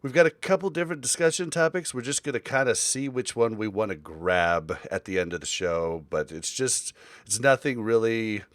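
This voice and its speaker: A man with a fairly low voice hosts a laid-back podcast, talking loosely and often restarting his sentences. The sound is close and dry, with no room around it. He fills pauses with easy filler words as he goes. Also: expressive, dry recording. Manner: rambling, with frequent fillers and restarts. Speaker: podcast host. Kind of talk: casual podcast chat.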